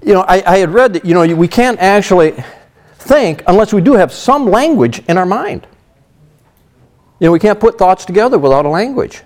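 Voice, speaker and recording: A man's voice: high voice, expressive, moderate pace, darkish tone. A middle-aged man teaching a sermon through a Bible passage in a conversational way, leaning on "you know" as he explains. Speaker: pastor.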